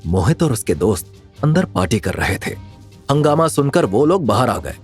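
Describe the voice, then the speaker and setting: Male narrator for dramatic serial fiction: animated intonation, mid pitch, faint music bed. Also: normal speed. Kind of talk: dramatic scripted story narration.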